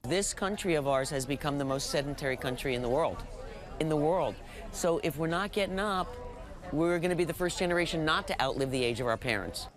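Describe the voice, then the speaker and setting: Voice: mid-range voice, fairly quick. An emphatic speaker making an advocate's case in a news interview. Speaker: walking advocate. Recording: outdoor urban crowd behind.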